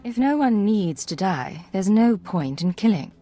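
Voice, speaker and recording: A woman acting a composed secret agent in a video game, calm and matter-of-fact. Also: medium pitch, unhurried, no room sound, faint music behind. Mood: calm, firm.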